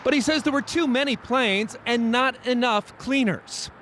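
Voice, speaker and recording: An American man delivers a scripted investigative news report. His voice is notably high for a man, in the manner of a TV reporter. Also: moderate pace, bright, forceful tone, a little hiss or hum.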